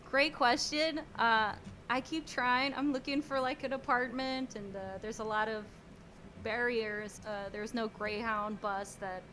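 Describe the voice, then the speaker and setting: Chatty woman, high voice. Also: fairly even intonation, unhurried, a crowd in the background. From French Canada, Montreal. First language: French. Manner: chatty, giggly, trailing restarts. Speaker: guest songwriter. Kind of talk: casual chat.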